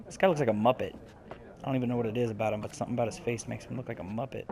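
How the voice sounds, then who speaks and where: A man's voice: mid-range voice, fairly even intonation, very fast, noisy background. A man hosting a let's play, musing aloud informally and reading game text out. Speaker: gaming video host.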